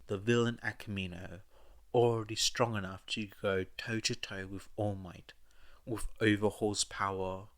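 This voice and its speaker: Grave, gentle young man with a bitter edge, read by a man, measured and unhurried, for an audio story. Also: fairly low pitch, level tone. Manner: measured, earnest, apologetic.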